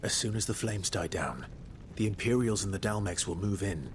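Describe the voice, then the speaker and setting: Man acting a low-voiced, brooding warrior. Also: expressive, normal speed, a little hiss or hum, natural voice. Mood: grim, resolute. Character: brooding, determined young warrior, protective of his brother. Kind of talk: dramatic video game cutscene acting. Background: loud orchestral music.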